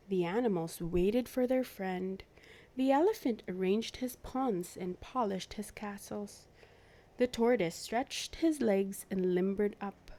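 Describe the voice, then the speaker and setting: A woman reads a picture book aloud to young children. Her voice is soft-edged and mellow, and she takes her time over each phrase. She narrates expressively, as the host of a storytime. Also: medium pitch. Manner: clear storytelling with animated asides to young listeners.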